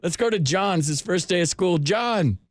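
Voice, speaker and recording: The joking American man with a lightish, higher voice you'd hear bantering with callers from a snug, dead-sounding sports radio booth. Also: a little fast, dry recording, studio quality. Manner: informal, joking, slangy. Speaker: sports radio call-in host. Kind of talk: casual chat with callers. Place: radio studio. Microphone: close studio microphone.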